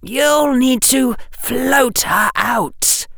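A man voicing a cold, imperious dark lord in a boy's body, giving clipped, chilling commands in an audiobook. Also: very high voice, drawn-out words, gravelly, no room sound, clean studio sound, voice pushed up, heavily acted. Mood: cold, menacing.

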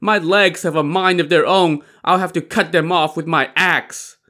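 A man's voice: mid-range voice, animated, moderate pace, sharp tone, pitched above the performer's own voice. A man voicing a frantic, gullible male traveler in a story, whining and pleading in exclamations. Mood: lamenting.

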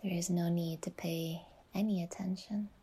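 A woman guiding a relaxation meditation in a soft, whispery, soothing voice, unhurried and leaving long pauses between phrases. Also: on the low side, steady tone, slightly rough. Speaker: yoga nidra guide and podcast host.

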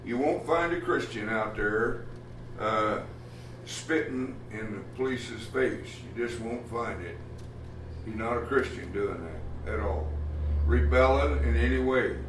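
A man preaching a sermon as pastor, plainspoken and folksy, often pausing with "uh" as he talks. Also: mid-range voice, background noise. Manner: plainspoken, folksy, halting with many uh fillers. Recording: echoey room from far off, faint ambience.

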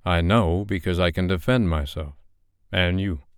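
A man voicing a calm, patient young man who speaks in a soft, coaxing way. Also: deep voice, measured pace, smooth, dry recording, studio quality.

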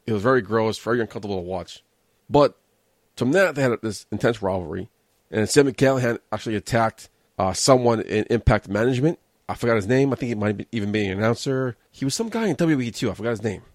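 A man's voice: fairly low pitch, lively intonation, quick pace, no room sound, clean studio sound. An American man hosting a casual solo review show, rambling through his opinions in a sardonic way. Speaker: host of a wrestling review show. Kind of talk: casual solo commentary on wrestling shows.

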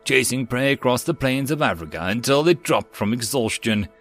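A man reading a story aloud and voicing a tough, energetic female Marine who teases and grins as she talks. Faint music plays under the reading. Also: mid-range voice, normal speed, natural voice. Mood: cheerful. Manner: teasing, energetic, grinning.

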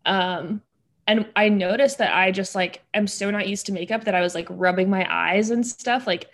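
A woman's voice: mid-range voice, steady tone, normal speed. Chatty young woman podcast host. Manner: chatty, many "like" fillers, laughs easily. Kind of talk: casual chat.

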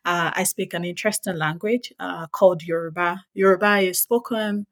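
A woman's voice: lowish voice, fairly even intonation, normal speed, no room sound, studio quality. A woman with a Nigerian accent talking casually as an interview guest. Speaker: podcast guest, data scientist and technical instructor.

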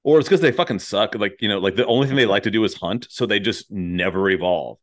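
A man's voice: fairly low pitch, fast. A man hosting a casual comedic chat, rambling and jokey, swearing freely as he goes. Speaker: podcast host.

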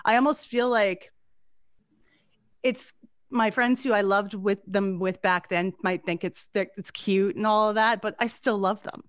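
A woman's voice: medium pitch, steady tone, unhurried, no echo, clean studio sound. A woman in her forties hosting a podcast interview, chatty and effusive, laughing often. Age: 40s. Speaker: podcast host. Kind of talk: casual interview chat.

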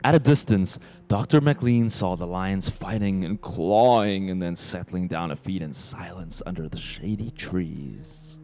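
Man as low, solemn radio drama narrator. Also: mellow. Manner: measured, dramatic. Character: solemn male narrator of sci-fi radio play.

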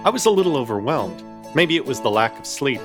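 Hapless first-person narrator, mid-range voice, wry and wordy, telling a comic horror tale over faint music. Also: male voice, mid-range voice, sing-song, fairly quick. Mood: uneasy. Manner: florid, wry storytelling. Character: intrepid, uneasy male apartment hunter narrating his ordeal.

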